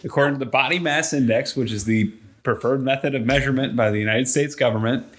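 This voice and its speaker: A man's voice: medium pitch, quick pace, a bit bright. A man co-hosting a podcast in a casual conversational style. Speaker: podcast co-host.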